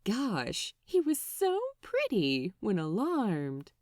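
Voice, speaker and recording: A woman reading fiction aloud as an expressive narrator. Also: medium pitch, slow pace, no echo, clean studio sound.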